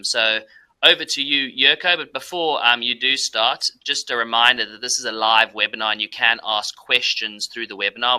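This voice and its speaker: A man's voice: on the low side, bright, forceful tone, poor audio. A man hosting a live business webinar, introducing guests with frequent ums and uhs. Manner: hesitant, many ums and uhs. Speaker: webinar host.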